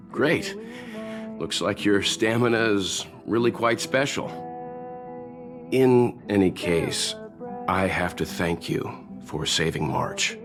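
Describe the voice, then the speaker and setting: An actor playing a polite, kindly man in a video game, courteous and calmly cautioning. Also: on the low side, slow pace, pauses often. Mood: friendly. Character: polite, measured, kindly man aboard a space train. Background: guitar music.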